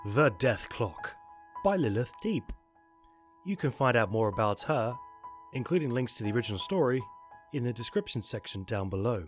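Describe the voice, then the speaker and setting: A man hosting his storytelling channel, giving a friendly, upbeat sign-off. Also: mid-range voice. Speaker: channel host.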